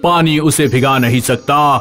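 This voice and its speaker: A man's voice: mid-range voice, measured pace, a bit bright, soft background music, natural voice. A man voicing a solemn, commanding magic stone that sets riddles in a children's storybook tale. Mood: stern, solemn. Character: stern magical talking stone posing riddles.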